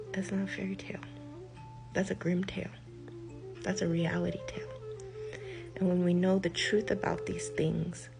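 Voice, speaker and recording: An older woman, a healer, speaking in a slow, deliberate monologue, stressing her points by repeating them and letting phrases trail off. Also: mid-range voice, creaky, rough recording. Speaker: healer giving a talk. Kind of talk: reflective spoken monologue. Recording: music behind the voice.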